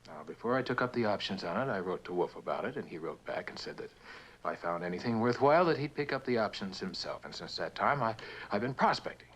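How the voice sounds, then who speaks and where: An actor playing a proud, bitter prospector, blunt and insistent, in a mid-century television drama. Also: male voice, fairly low pitch, expressive, fast, fairly bright tone, breathy edge, low-quality recording. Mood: businesslike. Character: proud, bitter mineral prospector, a man hard bargainer.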